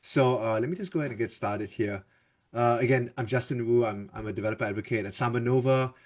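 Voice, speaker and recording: A man from Singapore talks through a tech webinar in a relaxed, chatty way. He often restarts a sentence and fills pauses with "uh" and "like" while he explains his slides. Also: mid-range voice. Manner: loose and conversational, with frequent fillers and restarts. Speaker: developer advocate presenter. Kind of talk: casual tech presentation.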